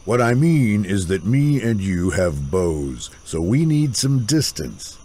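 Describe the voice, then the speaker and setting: A bold, pushy young archer in a fantasy audiobook. The voice is lowish and husky, with very lively swings of pitch. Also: male voice, slow, heavily acted. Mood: impatient. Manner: cocky, pushy. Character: brash, teasing, bold young male archer. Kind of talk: fantasy audio drama acting.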